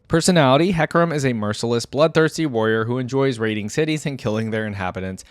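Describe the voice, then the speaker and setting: A man's voice: mid-range voice, no room sound. A man giving casual, informal reaction commentary as a video host, thinking aloud and at times reading out.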